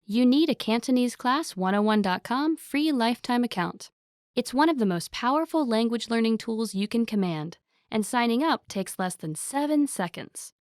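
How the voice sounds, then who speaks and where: A woman's voice: on the high side, expressive, normal speed, darkish tone, no echo, studio quality. Upbeat woman reading a promo. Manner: upbeat, salesy. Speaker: ad announcer. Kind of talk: scripted promotional voice-over.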